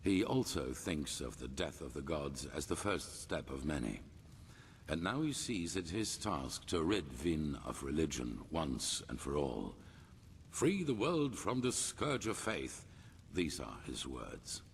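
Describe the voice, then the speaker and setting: A very deep, gravelly male voice in a long reverberant hall, with music behind it. a solemn older leader of a fantasy order, commanding and formal, with strongly varied intonation. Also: very animated, a little slow, a little hiss or hum, heavily acted. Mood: grim. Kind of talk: cinematic fantasy game voice acting.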